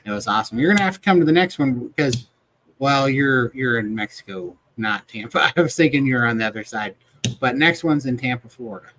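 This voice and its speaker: A man's voice: medium pitch, animated, a little fast, a bit bright, low-quality recording. A man hosting a live video chat show, talking casually with informal, jokey banter. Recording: clear background noise.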